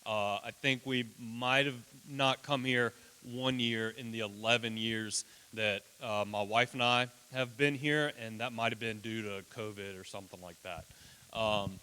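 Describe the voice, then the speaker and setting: Guy with a big booming voice, bright and crisp, talking in a church with long echo. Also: fairly even intonation, unhurried. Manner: loud booming voice, folksy and chatty. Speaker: children's ministry worker. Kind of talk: church presentation talk. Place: church hall. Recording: long hall reverb.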